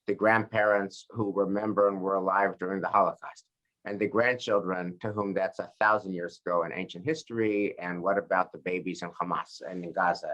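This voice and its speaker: A man with a fairly low voice leads a group study session as its rabbi. He talks in a relaxed, conversational way, often pausing and restarting his sentences. He sounds like he is on a video call. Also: low-quality recording. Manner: thoughtful, many fillers and restarts. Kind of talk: conversational teaching discussion.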